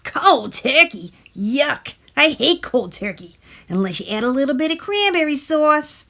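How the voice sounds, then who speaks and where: Woman voicing a brash, wheedling talking tooth, high and swooping. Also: sing-song, unhurried, full character voice. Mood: wheedling, pleading. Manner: loud, pleading, brash wisecracking. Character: bossy, wheedling talking sweet tooth craving candy. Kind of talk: animated picture-book character voice.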